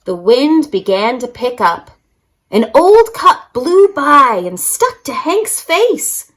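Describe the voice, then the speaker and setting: Slow, high-voiced woman reading a storybook. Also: very animated, fairly bright tone. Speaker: children's story-time reader. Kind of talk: expressive storybook reading for young children.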